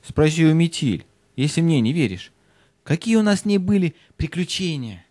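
A man playing an earnest, excitable young boy in an audio fairy-tale play, speaking insistently. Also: fairly high pitch, normal speed, no room sound, clean studio sound.

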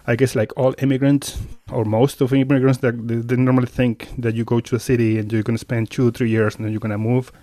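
A man from Argentina with an Argentine Spanish accent, a composer talking in an interview with a relaxed manner, often repeating words and laughing easily. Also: mid-range voice, fast talker, hardly any pauses, no room sound. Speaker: composer and sound artist guest. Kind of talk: interview conversation.

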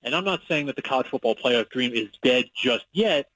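New York-accented sportswriter on the radio. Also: male voice, medium pitch, expressive, fairly quick, fairly bright tone, dry recording, low-quality recording. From Long Island, New York. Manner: conversational, with many fillers and restarts, analytical. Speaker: sportswriter guest. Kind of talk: radio interview.

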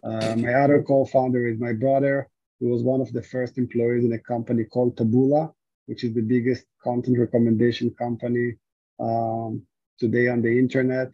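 Man speaking in a dull low-fi recording. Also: dry recording. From Israel. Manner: hesitant, many fillers and restarts. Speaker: guest, startup founder. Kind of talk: podcast interview answer. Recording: dull lo-fi sound.

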